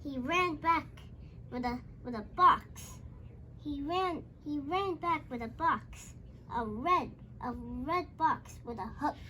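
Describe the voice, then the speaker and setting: A young child with a high voice tells a storybook tale at a slow, halting pace. Phrases get repeated and restarted, and the dialogue is called out. There is faint noise behind the voice. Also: sharp tone. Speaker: young host reading a storybook aloud.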